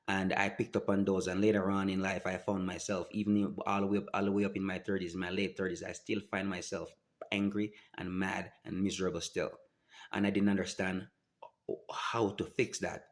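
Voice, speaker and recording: A man in his forties with a very deep, low voice speaks straight to camera about his own life. He talks earnestly and haltingly, stopping and restarting his sentences often. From Jamaica. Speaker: vlogger. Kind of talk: personal testimony to camera.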